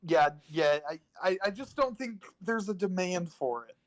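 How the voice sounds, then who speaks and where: A man joking on a panel in casual conversation, halting as he delivers mock-serious remarks. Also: sits high, lively intonation. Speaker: podcast panelist. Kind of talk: casual, joking group chat.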